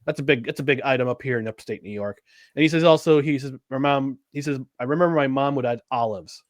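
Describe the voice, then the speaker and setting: A man's voice: mid-range voice, fast, no room sound, clean studio sound. A young American man co-hosting a podcast, chatting casually with rambling, self-deprecating asides and frequent laughter. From United States. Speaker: podcast co-host. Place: upstate New York.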